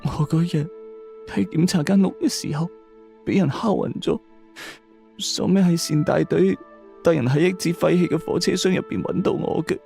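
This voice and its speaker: Man acting a creaky, higher-pitched young police officer. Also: normal speed, warm tone. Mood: subdued explaining. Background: background music.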